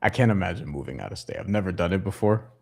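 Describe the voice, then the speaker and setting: A man hosting a podcast in casual chat, talking in halting bursts with many fillers and restarts. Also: low voice, animated, creaky. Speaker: podcast host.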